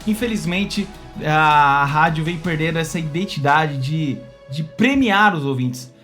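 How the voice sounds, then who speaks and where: A Brazilian man hosting a casual opinion video, talking to viewers in an expressive, conversational way that often seeks their agreement. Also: on the high side, unhurried, soft background music. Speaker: YouTube channel host.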